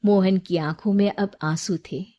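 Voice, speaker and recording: A woman's voice: medium pitch, fairly even intonation, measured pace, warm tone, dull audio. A woman storyteller reading a scripted moral tale as narration.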